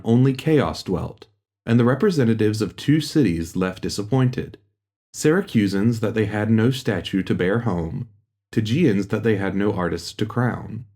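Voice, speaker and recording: A man narrating a gothic horror audiobook in a measured, solemn and formal storytelling manner. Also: lowish voice, warm tone. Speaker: audiobook reader. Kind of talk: scripted literary narration of a gothic horror tale.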